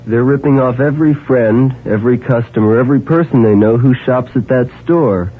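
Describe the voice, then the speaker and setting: A man reads a scripted public service spot in an earnest, persuasive way, asking the listener direct questions. His voice is husky and mid-pitched. It is heard through a thin, tinny old AM radio broadcast. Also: normal speed.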